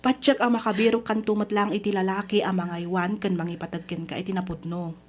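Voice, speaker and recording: A woman's voice: medium pitch, little pitch movement, quick pace, natural voice. A young woman acting a supportive, frank friend in a radio drama, exasperated but caring in her delivery. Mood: exasperated comforting.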